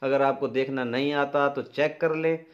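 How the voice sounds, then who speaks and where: A middle-aged Pakistani-accented man speaks informally as an instructor giving practical farming advice. His voice sits at an average pitch. The recording is somewhat dull and lo-fi. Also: fairly even intonation, moderate pace. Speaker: dairy farming advice presenter. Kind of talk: informal instructional explanation to camera. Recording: dull, lo-fi sound.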